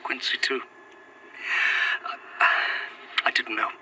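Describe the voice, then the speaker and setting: A tender formal victorian gentleman, old-fashioned and earnest, gothic audio drama, faint noise behind him. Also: takes it slowly, rough recording. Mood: hesitant, moved. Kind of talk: found-footage gothic audio drama acting.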